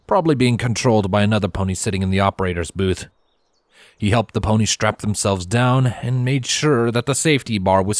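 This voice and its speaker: A man's voice: fairly low pitch, no room sound, studio quality. A man reading a scripted story aloud as its narrator. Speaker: fan fiction narrator. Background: background music.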